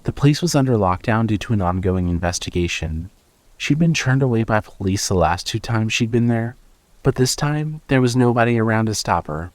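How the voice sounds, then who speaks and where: A man's voice: lowish voice, lively intonation, normal speed, no room sound. A man narrating a scripted horror story as the storyteller of an audio drama.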